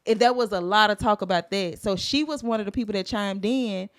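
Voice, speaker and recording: A woman's voice: medium pitch, brisk, smooth, no echo, studio quality. A woman co-hosting a casual talk show, steering the topic and teasing the others. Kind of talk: casual chat.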